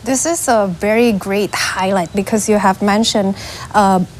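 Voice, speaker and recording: A woman's voice: medium pitch, fairly even intonation, measured pace, a little hiss or hum, poor audio. A woman with an Indonesian accent asks questions as the interviewer in a corporate interview.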